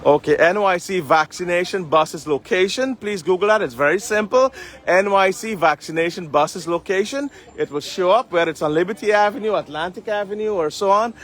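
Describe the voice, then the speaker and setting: A man with a high-pitched voice addresses viewers as a community leader on a live stream. His phrasing is earnest and formal, like a public speaker. Faint street and vehicle noise runs behind him outdoors. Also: fairly bright tone. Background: street ambience and traffic.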